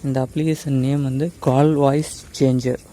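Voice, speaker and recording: Male tech tutorial host, mid-pitched and relaxed, casual step-by-step explaining with English words mixed in. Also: moderate pace. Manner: explanatory, addresses viewers directly, mixes in English words.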